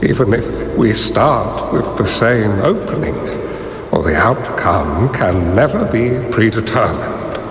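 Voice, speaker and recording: Slow, frail-hearted elderly sage. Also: male voice, on the low side, expressive, noisy background. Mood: contemplative. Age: older. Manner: measured, philosophical, halting. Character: dying elderly male strategist, wise, gentle, contemplative mentor. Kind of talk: dramatic video game voice acting. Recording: echoey reverberant hall, music behind.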